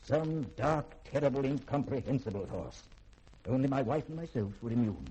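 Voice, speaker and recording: Learned middle-aged scientist, husky, soft-edged tone, polished theatrical diction, secretive, 1950s radio drama. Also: male voice, medium pitch, expressive, normal speed, rough recording. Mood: grave, ominous. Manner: formal, polished, eloquent, theatrical.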